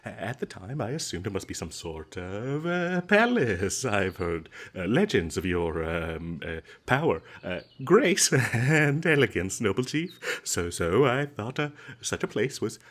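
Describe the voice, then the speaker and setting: A man playing a smooth-talking captured adventurer in a comedic fantasy audio roleplay, stammering and flattering with flowery politeness. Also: lowish voice, unhurried, hardly any pauses, exaggerated voice. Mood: nervous flattery. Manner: hesitant, stammering, ingratiating, flowery and polite phrasing.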